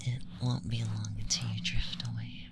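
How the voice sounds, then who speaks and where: A performer voicing an aloof, teasing alien femboy interrogator in a slow, deliberate, soft ASMR whisper. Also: medium pitch, flat delivery, gravelly, heavy background noise, lightly acted. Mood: calm, soothing.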